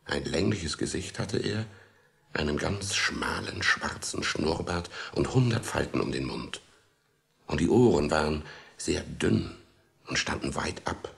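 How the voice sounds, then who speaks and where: A man narrating a children's radio play in an expressive, suspenseful storytelling style with a gravelly voice. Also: rumbling low. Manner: expressive, suspenseful, with whispered asides and dramatic pauses.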